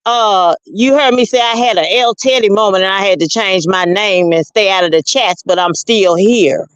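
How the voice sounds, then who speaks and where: A woman with a mid-pitched voice in dry, close audio. She argues like an indignant call-in guest, insistent and informal, with pointed endearments. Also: moderate pace, clean tone, no echo. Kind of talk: heated casual argument.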